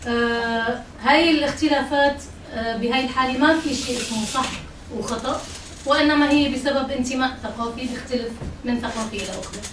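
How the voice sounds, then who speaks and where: A woman's voice: high voice, rapid-fire. A woman from Syria, a native Arabic speaker with a Syrian accent, talking spontaneously to a group as a co-organiser. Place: echoey room at a group event. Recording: echoey, distant mic, clear background noise.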